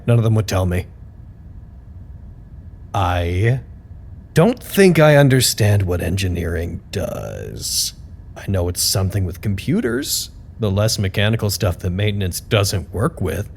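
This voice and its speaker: An actor playing a fussy, anxious, paranoid office worker giving spoken reports in an audio drama, stiffly formal but easily exasperated. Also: male voice, medium pitch. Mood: awkward, puzzled. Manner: stiffly formal deadpan narration that swings into exasperated outbursts.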